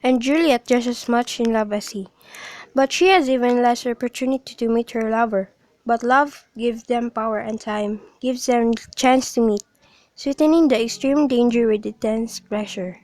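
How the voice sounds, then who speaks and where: Woman, fairly high pitch, scripted storytelling narration, amateur radio drama. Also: moderate pace, darkish tone, low-quality recording. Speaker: narrator, chorus.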